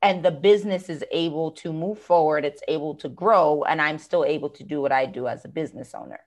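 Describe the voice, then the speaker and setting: A woman's voice: deep voice, brisk, darkish tone. An American woman business owner talking through her work in an interview, thoughtful, with many fillers and restarts. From United States. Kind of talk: conversational interview.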